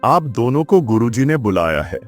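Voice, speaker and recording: A poor young student from a moral tale, acted by a man, timid and eager to please. His medium-pitched voice rises and falls in a very lively way, over soft music. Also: moderate pace, close to an everyday voice. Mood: obedient. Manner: pleading, deferential. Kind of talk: children's moral story voice acting.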